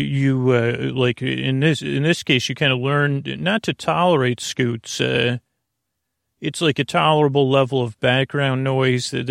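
A man with a creaky voice hosting a sleep podcast, meandering haltingly through a lulling, soothing bedtime monologue full of tangents. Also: mid-range voice, no echo, clean studio sound.

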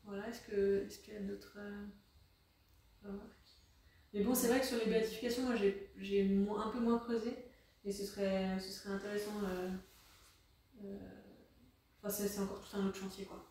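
A woman in a casual group discussion, talking hesitantly with frequent restarts and quick agreeing interjections. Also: mid-range voice, fairly even intonation, mellow. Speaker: discussion participant. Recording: echoey room, distant mic.

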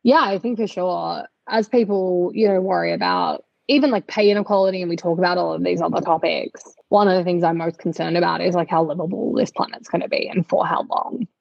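A woman with a gravelly, fairly low voice talks through news as a podcast co-host. She explains things reflectively and conversationally. The recording is dry and slightly dull. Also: fairly even intonation, brisk, hardly any pauses, no room sound.

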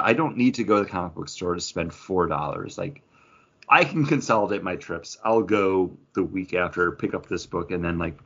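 A man's voice: sits low, creaky, a bit bright, breathy edge, muffled recording. A man co-hosting a comic book podcast, chatting casually. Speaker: podcast co-host.

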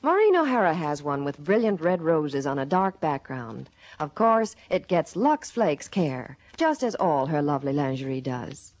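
A woman's voice: sits low, sing-song, moderate pace, few pauses, a little mellow. A woman reading a 1950s radio advertisement with an emphatic sales delivery. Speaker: commercial spokeswoman.

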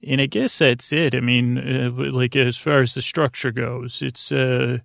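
Gravelly, rambling man hosting a sleep podcast. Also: medium pitch, fairly even intonation, fairly bright tone, dry recording, clean studio sound. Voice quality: gravelly, rusty vocal tone. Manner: meandering, halting, self-interrupting, absent-minded. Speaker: podcast host. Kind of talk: rambling bedtime monologue.

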